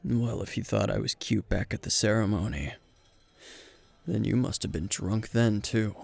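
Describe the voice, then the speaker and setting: A man acts out a weary hero teacher several drinks in, speaking low with a heavy gravelly fry. He is gruff and deadpan, yet dryly flirtatious, murmuring close to the listener in an anime-style ASMR roleplay. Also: full character voice. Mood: flirtatious, soft. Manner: low-key, dry, deadpan, unhurried. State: playing tipsy.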